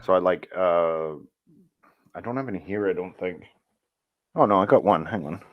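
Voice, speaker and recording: A very deep-voiced man talks loosely and at length, often restarting and correcting himself mid-thought. The sound is close and dry, with no room around it. He comes across as a relaxed voice actor chatting on a video call. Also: normal speed, no room sound, dull audio. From North America. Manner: rambling, self-correcting, relaxed storytelling. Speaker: voice actor guest. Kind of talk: casual interview chat.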